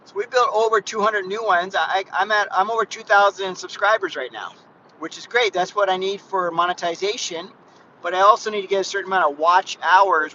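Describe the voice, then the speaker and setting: Brief: man in his 50s, bright, higher voice, chatty rambling vlogger, dull lo-fi phone audio in a moving car with faint road noise. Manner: rambling, chatty, frequent restarts and "guys". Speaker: fitness vlogger. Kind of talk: casual live vlog while driving.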